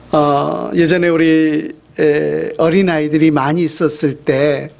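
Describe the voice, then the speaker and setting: A middle-aged man gives a sermon as a pastor, in a relaxed, conversational tone. His voice sits a little on the high side for a man. He drops in small fillers and draws out the words he wants to stress. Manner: conversational, with fillers and slow emphatic phrases.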